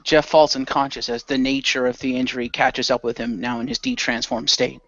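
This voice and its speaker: A man's voice: medium pitch, level tone, brisk, fairly bright tone, no echo. Guy narrating action scenes for a homemade radio play, dull mic, very dry. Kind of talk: improvised narration.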